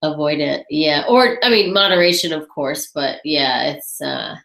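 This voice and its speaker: A woman with a low, congested voice talks through a webinar Q&A in an echoey room. She chats loosely and thinks aloud between viewer questions. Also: lively intonation, unhurried, vocal fry, a bit bright. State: congested with a head cold. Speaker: webinar host. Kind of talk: casual Q&A on a webinar.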